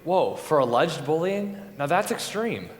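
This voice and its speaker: A man's voice: on the high side, sing-song, unhurried. A man playing a blunt, casual, incredulous juror in an amateur stage play. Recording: echoey hall, long reverb.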